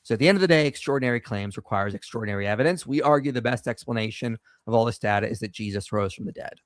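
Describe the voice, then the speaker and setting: A confident man hosts a call-in discussion and lays out his arguments with emphasis and a lecturing tone. His voice sits at a medium pitch. The recording is dry and close, with no room sound. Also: rapid-fire, no room sound. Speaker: host of a call-in show.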